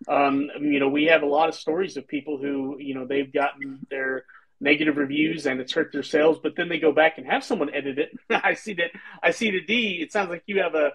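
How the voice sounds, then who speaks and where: A man's voice: a little high, lively intonation, a little fast, a bit bright, husky, rough recording. A man in his thirties hosting a casual video call panel as an editor, talking in long rambling sentences full of fillers and restarts. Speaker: host and publisher's editor. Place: home room with bookshelves. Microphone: video call webcam.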